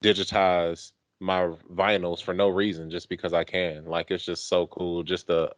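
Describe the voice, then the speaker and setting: A man with a very deep voice talks casually as a podcast co-host. His delivery is conversational and enthusiastic, recorded close and very dry, with little room sound. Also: little pitch movement, moderate pace, dry recording. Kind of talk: casual chat.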